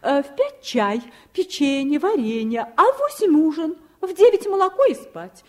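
An actress playing a fretful, doting merchant's wife, plaintive and fussy, in a Soviet radio drama. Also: female voice, high-pitched, sing-song, moderate pace. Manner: plaintive, fussy, cooing diminutives.

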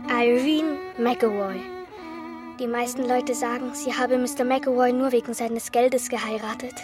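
A woman playing a precocious little girl in a radio mystery. The voice is fairly high, and she reports what she has seen in a candid, chatty, matter-of-fact way. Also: fairly even intonation, unhurried, over music, close to an everyday voice. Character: observant, candid, precocious young girl witness. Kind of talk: radio play acting.

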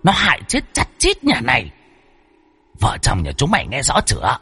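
A man voicing a spirit medium possessed by a fierce aunt spirit, berating the family in a shrill, scolding way. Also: wildly swooping pitch, normal speed, bright, forceful tone, slightly rough, faint music behind, heavily acted. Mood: accusing.

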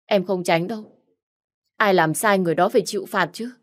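A woman voicing a jealous young wife who pleads and accuses in a shrill, raised voice. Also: fairly quick, natural voice. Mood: shrill accusing.

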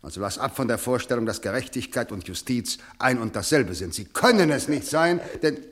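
A man's voice: medium pitch, expressive, fairly quick, a bit bright, close to an everyday voice. An actor playing a stern, pompous middle-aged prosecutor and father in a German radio play, speaking in formal, lecturing phrases. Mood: lecturing, insistent.

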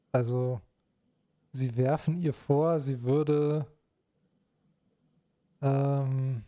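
Guy co-hosting, soft dark tone, jokey and wry, just chatting. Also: medium pitch. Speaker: co-host of a live online talk show. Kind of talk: casual chat.

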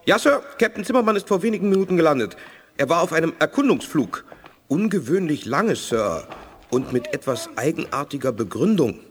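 An actor playing an eager, dutiful military sergeant in a German audio drama, reporting with deference. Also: male voice, on the high side, very animated, brisk. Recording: noisy 1980s audio drama recording.

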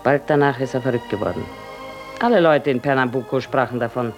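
A woman's voice: rumbling low, brisk, faint music behind. An actress in a German radio drama playing a sick woman in an asylum, self-mocking, teasing and wistful. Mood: wistful, reminiscing.

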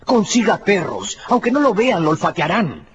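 An agitated man of science in a radio drama, urgent and insistent. His voice is fairly high and very animated, in dull radio sound. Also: normal speed, a little hiss or hum. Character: alarmed, urgent educated doctor, man of science.

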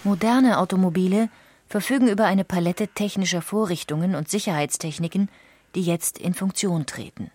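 A woman reading scripted documentary narration in a measured, factual way. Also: fairly low pitch, darkish tone, a little hiss or hum. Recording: music behind the voice. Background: music.

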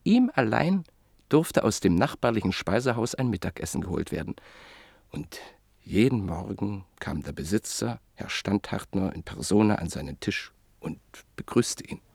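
A man narrating a classic literary radio play in a measured, reflective way that sometimes sinks to a near whisper. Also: lowish voice, big pitch swings, vocal fry, darkish tone, husky, no room sound.

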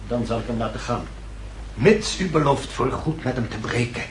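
A male actor plays a scheming, courtly nobleman in a radio drama. He speaks in formal, smooth, unbending phrases at a middling pitch. The old recording is roomy and slightly distant, with faint noise. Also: expressive, normal speed, natural voice. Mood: cold, firm. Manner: formal, suave, unyielding. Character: cold, courtly, scheming French count forcing a marriage. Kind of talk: Dutch radio play acting.

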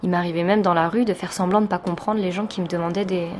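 A woman's voice: fairly low pitch, steady tone, quick pace, a little mellow, a little hiss or hum. A woman from France, a teacher, giving a reflective personal testimony in an interview, speaking thoughtfully with many hesitations.

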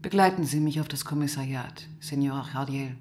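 A woman playing a composed, probing police inspector who narrates and questions in a measured, matter-of-fact way in a radio crime drama. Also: extremely low pitch, darkish tone, slight background noise. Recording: studio radio drama with music.

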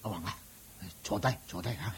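A man acting a kindly, coaxing old village uncle in a Hong Kong Cantonese radio drama, with a husky voice and repeated soothing phrases. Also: low-pitched, a little fast, fairly bright tone, full character voice. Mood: eager, urging. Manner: repeats coaxing phrases, many sighs and interjections.

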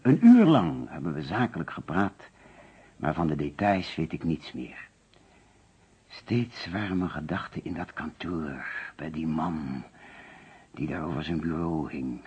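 An actor playing a distracted, anxious male insurance agent in a Dutch radio play, halting and evasive as he hides his trouble. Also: rumbling low, a little mellow, slightly rough, heavily acted. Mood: confessing, weary. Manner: halting, evasive, absent-minded. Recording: dull, lo-fi old radio recording.

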